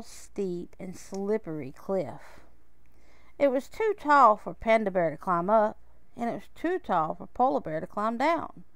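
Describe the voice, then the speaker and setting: A woman reading a children's picture book aloud in a measured, expressive way, with pauses. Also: fairly high pitch, smooth, no room sound. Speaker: storybook reader.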